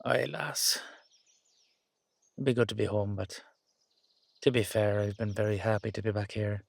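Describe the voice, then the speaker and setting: A man's voice: on the low side, steady tone, normal speed, creaky, dry recording. A man playing a playful, affectionate young-looking Dullahan boyfriend with an Irish accent, rambling and teasing in a roleplay audio. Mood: warm, fond.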